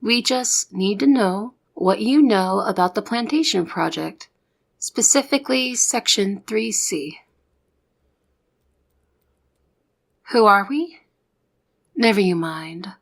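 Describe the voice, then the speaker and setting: A woman playing a young trainee secret agent in an audio roleplay, the good cop who reasons and coaxes. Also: mid-range voice. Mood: coaxing. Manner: persuasive, reasoning, exasperated with partner. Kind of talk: ASMR roleplay audio drama.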